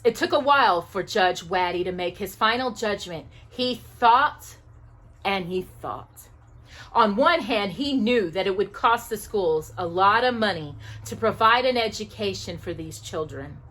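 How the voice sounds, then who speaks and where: A woman hosting a children's storytime, reading aloud with emphatic stresses, dramatic pauses and asides to her listener. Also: medium pitch, lively intonation, a bit bright.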